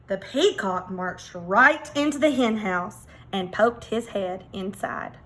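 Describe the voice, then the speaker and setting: A woman reading a children's storybook aloud like a teacher, expressive, with dramatic emphasis. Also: medium pitch, takes it slowly.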